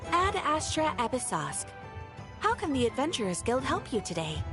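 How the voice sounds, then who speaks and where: Polite, high-voiced guild receptionist. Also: female voice, wildly swooping pitch, moderate pace. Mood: courteous, informative. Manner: courteous, formal, explanatory. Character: polite, helpful young woman, adventurers guild receptionist. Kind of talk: video game voice acting. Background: game music.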